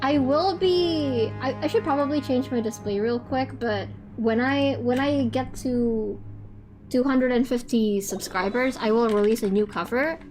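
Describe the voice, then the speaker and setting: A young non-binary streamer with a fairly high voice, talking loosely to their chat. They trip over restarts, break into laughter and swear casually. Soft music plays faintly underneath. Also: measured pace, rough recording. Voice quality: higher-pitched voice.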